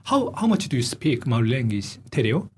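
A man's voice: mid-range voice, big pitch swings, brisk, darkish tone, a little hiss or hum. A man with a Korean accent, a native Korean speaker hosting a radio interview, asking questions and switching between English and Korean. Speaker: radio host. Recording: community radio studio.

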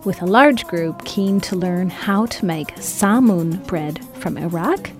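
Woman narrator, scripted read, mid pitch, upbeat, soft music under. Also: slow. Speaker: podcast narrator.

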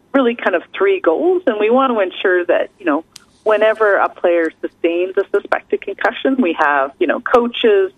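A woman's voice: mid-range voice, no echo. Canadian woman on a tinny phone line. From Canada, British Columbia. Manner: chatty, many fillers like kind of and um. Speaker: research scientist, guest. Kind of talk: casual radio interview. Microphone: phone call.

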